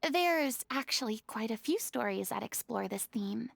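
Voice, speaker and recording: A woman's voice: on the high side, animated, normal speed, exaggerated voice. A woman voicing a poised, theatrical young noblewoman in a video game, speaking in a gracious, formal way. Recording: game music clearly behind.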